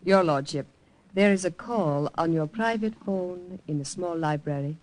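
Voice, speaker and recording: An actress playing a composed, inquisitive young secretary in a 1940s radio drama. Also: female voice, lowish voice, moderate pace, darkish tone, lightly acted, poor audio. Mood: polite. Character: young Italian woman secretary, poised and probing.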